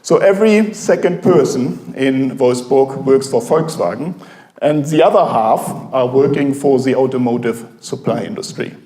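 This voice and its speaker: A German-accented professor giving a halting, informal talk to a café audience, full of fillers. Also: male voice, mid-range voice, warm tone, roomy sound. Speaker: guest speaker, professor.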